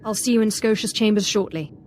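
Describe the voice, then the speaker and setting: An actress playing a young, self-possessed Sith apprentice in a video game, composed and coolly formal. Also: female voice, mid-range voice, fast talker, darkish tone, soft background music, natural voice. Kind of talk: video game cutscene acting.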